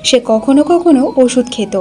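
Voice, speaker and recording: A woman's voice: high voice, normal speed, mellow. A woman reading scripted narration for a cartoon folk-style story.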